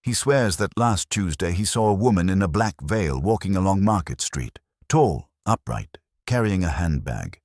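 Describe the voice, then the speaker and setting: A man voicing a self-important town councillor, a gossip who confides with solemn excitement. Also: deep voice, normal speed, hardly any pauses, no echo, clean studio sound, voice pushed up, heavily acted. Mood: excitedly confiding.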